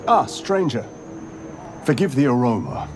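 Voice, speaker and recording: A man's voice: mid-range voice, animated, a little slow, lightly acted. A man playing a courteous, sardonic wandering monster hunter in a video game, speaking formally. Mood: wry. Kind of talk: video game character acting. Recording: clear background noise.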